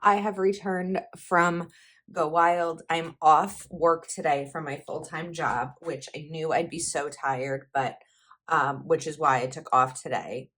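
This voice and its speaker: A woman's voice: low-pitched, steady tone, a little slow, fairly bright tone. A young woman on her own channel, chatting casually as she fills in her planner. Her voice is a little froggy from a lot of talking, and she rambles, stopping often to correct herself. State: slightly froggy voice from heavy talking. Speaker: planner YouTuber. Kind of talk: casual planner vlog chat.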